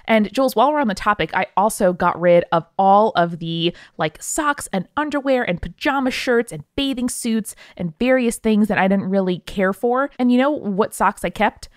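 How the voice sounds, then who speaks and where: A woman's voice: medium pitch, moderate pace, hardly any pauses, dry recording, studio quality. A woman from the United States co-hosting a podcast, chatty and effusive, with informal talk and host-read ads. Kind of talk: casual chat and conversational host-read ads.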